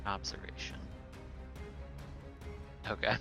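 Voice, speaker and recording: A man playing a tabletop game, chatting casually and thinking aloud as he works out his move. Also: takes it slowly. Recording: clear music behind the voice. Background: music.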